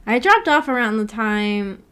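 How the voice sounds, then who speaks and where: A woman's voice: a little high, animated, unhurried, fairly bright tone, clean tone. A young adult woman chatting casually on a livestream, rambling informally and reading viewer comments aloud as she goes. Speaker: VTuber livestreamer.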